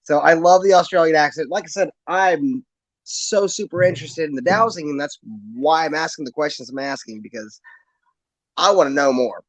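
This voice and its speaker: A man from the United States with a rural American accent, hosting a casual interview in a folksy, unhurried way. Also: a little high, dry recording, low-quality recording. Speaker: show host.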